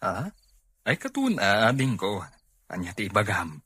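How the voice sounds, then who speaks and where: A man playing a troubled older brother and farm boss in an audio drama, with a husky voice. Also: fairly low pitch, wildly swooping pitch, moderate pace, bright, forceful tone, exaggerated voice. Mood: pensive, evasive. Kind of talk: amateur Filipino audio drama acting.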